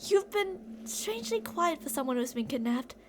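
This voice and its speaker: Woman acting a high-voiced obsessive young yandere. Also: big pitch swings, normal speed, slight background noise. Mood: sweetly menacing. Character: obsessive, delusional yandere college-age woman addressing her captive. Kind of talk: ASMR roleplay, dramatic monologue.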